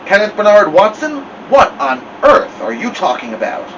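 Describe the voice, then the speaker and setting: A man's voice: sits high, wildly swooping pitch, normal speed, creaky, fairly bright tone, distant mic, slight background noise, pitched above the performer's own voice, heavily acted, rough recording. A man voicing a worried, caring mother with Southern turns of speech in a story. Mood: scolding.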